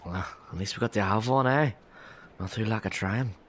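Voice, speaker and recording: A man performs a young working man of Victorian London for a historical audio drama. His voice sits low, and he chats in a cheeky, jovial, bantering way. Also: normal speed, fairly bright tone, slight background noise, lightly acted. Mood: fond, bantering.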